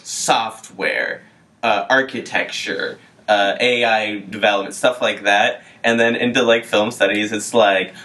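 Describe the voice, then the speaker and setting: Young male podcast co-host, bright-voiced, casual, joking banter. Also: on the low side, steady tone, a little slow. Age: young adult. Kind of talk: casual comedic chat.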